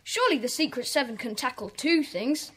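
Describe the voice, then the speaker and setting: A woman's voice: high voice, animated, brisk, fairly bright tone, breathy edge, full character voice. A woman playing a sensible, bossy schoolboy club leader in a children's audio drama, decisive and orderly.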